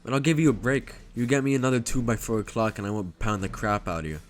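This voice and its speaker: A man's voice: mid-range voice, fast, lightly acted. A man playing a swaggering teenage bully in a motion comic, blunt, taunting and threatening. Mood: menacing. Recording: music behind the voice.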